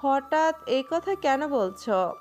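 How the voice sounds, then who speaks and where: A woman playing a resentful, outspoken younger sister in a romantic audio story, deliberate and reproachful. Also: high-pitched, expressive, a little mellow, soft background music. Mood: surprised.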